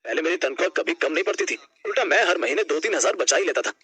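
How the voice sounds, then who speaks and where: A young working husband played by a man in an animated storybook drama. His voice is very husky and bright, and he talks quickly. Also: on the high side, exaggerated voice. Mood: exasperated. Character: young working husband, exasperated, strapped for money.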